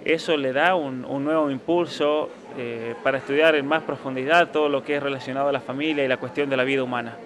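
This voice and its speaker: A young man from Argentina, his accent softened by years abroad, answering interview questions in an earnest, emphatic way. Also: medium pitch, fairly even intonation, fast, few pauses, crowd noise. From San Juan, Argentina. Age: young adult. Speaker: author being interviewed.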